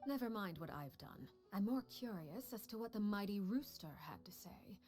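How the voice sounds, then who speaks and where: An actress playing a cold, composed woman who leads a household of spies, speaking in a measured, quietly menacing way. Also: mid-range voice, lively intonation, natural voice. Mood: cool. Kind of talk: video game dialogue. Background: game music.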